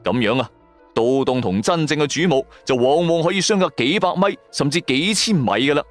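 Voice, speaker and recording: A man's voice: on the high side, animated, fairly quick. A man with a Cantonese accent narrating a first-person audio drama in a colloquial, theatrical storytelling style. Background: background music.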